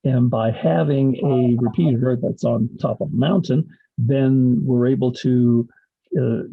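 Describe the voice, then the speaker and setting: A man presents informally, like an instructor explaining slides. His voice is husky with a noticeable creak, and he pauses often mid-sentence as he explains. The recording is somewhat dull and lo-fi. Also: mid-range voice. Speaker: college instructor and radio club advisor giving a presentation.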